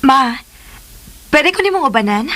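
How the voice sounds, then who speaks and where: An eager sweet daughter in a radio drama, coaxing and cheery, highish voice. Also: female voice, animated, measured pace, bright, forceful tone, exaggerated voice. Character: eager, affectionate daughter coaxing her mother.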